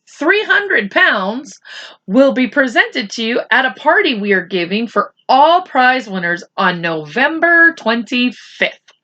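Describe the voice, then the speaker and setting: A woman voicing a formal, congratulatory competition director's letter in a measured, proclaiming manner, within a children's story. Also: a little high, pushed and bright, heavily acted.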